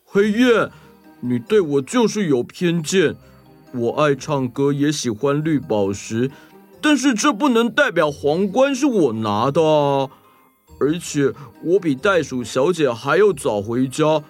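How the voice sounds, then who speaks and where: Earnest male sea turtle, played by a man, highish pitch, swooping intonation, touchy, kids' audio story. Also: very animated, measured pace, soft background music. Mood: indignant, defensive. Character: indignant male green sea turtle who loves singing.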